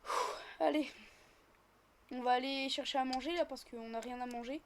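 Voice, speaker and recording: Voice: high-pitched, fairly even intonation, normal speed. A female speaker, casually improvising a roleplay while gaming and chattily narrating each action. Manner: chatty, narrates own actions as they happen. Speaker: host of gaming roleplay videos.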